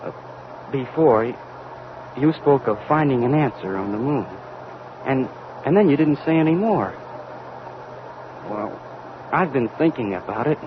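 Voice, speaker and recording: An actor playing a young, earnest, deferential physicist and rocket radio operator in a vintage radio drama, hesitant and respectful. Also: male voice, expressive, unhurried, long pauses, close to an everyday voice. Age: young adult. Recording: muffled vintage radio recording, loud hiss, rumble.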